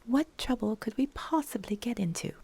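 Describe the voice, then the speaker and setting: Bubbly coaxing young woman, lively and bouncy pitch, read by a female storyteller. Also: normal speed, gravelly, slightly rough, exaggerated voice. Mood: playful. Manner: teasing, excitable, coaxing.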